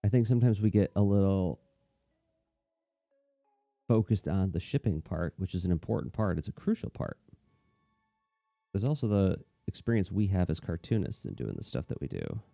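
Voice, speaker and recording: A man with a low, very mellow and relaxed voice chats casually as he works. He is thoughtful and conversational, rambling through his reflections. The room adds a clear echo, and faint music plays behind him. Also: moderate pace, long pauses. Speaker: comics artist and teacher hosting a livestream. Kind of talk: casual reflective chat while drawing.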